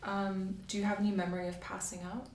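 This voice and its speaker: A soft-spoken woman doing an ASMR medical roleplay as a doctor, asking methodical questions in a reassuring way. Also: mid-range voice, level tone, fast. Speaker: ASMR creator playing a doctor. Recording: roomy, distant mic, faint hiss.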